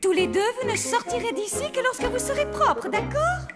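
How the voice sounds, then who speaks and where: Bossy cartoon mum: extremely high, animated voice, dramatic hotel owner, firm with the kids, music loud underneath. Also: female voice, moderate pace. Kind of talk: French children's cartoon dub acting.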